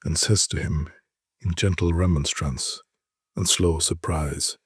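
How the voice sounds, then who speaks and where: Male narrator, low and very husky, solemn and deliberate literary storytelling, dry close sound. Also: fairly even intonation, no echo. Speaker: narrator reading short stories. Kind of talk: dramatic literary reading.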